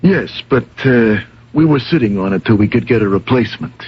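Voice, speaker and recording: An actor playing a respectful, frank theatre employee answering questions in a radio drama. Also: male voice, mid-range voice, expressive, brisk, slightly rough. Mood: guarded. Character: deferential, candid male theatre employee being questioned. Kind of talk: 1970s-80s radio drama acting. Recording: dull lo-fi old radio recording.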